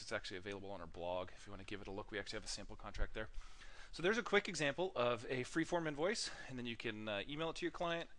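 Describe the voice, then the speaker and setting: Male software demonstrator, lowish voice, informal tutorial delivery, halting with frequent filler sounds. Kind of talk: casual software tutorial narration.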